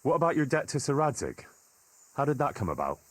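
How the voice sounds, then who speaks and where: Husky, inquisitive young medieval lad. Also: male voice, mid-range voice, sing-song, brisk, fairly bright tone, dry recording. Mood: attentive. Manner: plain-spoken, inquisitive. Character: earnest, curious young medieval man asking questions. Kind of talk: video game cutscene dialogue.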